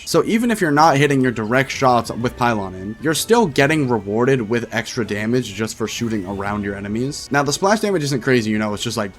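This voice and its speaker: Fast-talking man with a strained voice. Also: mid-range voice, sing-song, faint music behind. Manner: fast, chatty, full of fillers like "you know". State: voice sounds strained and rough. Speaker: gaming YouTuber. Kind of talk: casual gameplay commentary.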